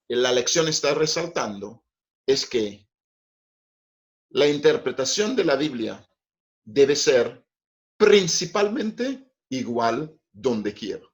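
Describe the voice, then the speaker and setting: A middle-aged man, a native English speaker, preaching a Bible study in a careful, teaching manner with frequent checks of "ok?". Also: mid-range voice. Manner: slow, deliberate teaching with frequent "ok?" and "pues".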